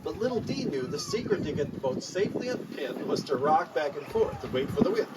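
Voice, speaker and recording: A man reading a rhyming picture book aloud to young listeners in an expressive, animated storytelling style. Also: fairly high pitch, brisk. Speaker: children's author reading aloud. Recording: noisy, distant mic, live room ambience.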